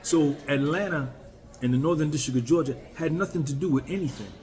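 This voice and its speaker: A man's voice: a little high, brisk, darkish tone. A man in his fifties, a YouTuber, talking candidly and bluntly to his viewers in a casual, emphatic way. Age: 50s. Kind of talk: casual talk to camera.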